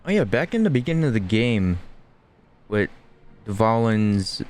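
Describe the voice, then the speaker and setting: A man's voice: lowish voice, big pitch swings, measured pace, darkish tone. A man giving casual, off-the-cuff commentary as he streams a video game playthrough. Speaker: gaming streamer. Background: faint game music.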